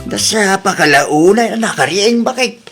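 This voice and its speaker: A man's voice: sits high, lively intonation, unhurried, over loud music. A man playing an older, plain-spoken village husband with an Ilocano accent in a comedy audio drama. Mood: obliging.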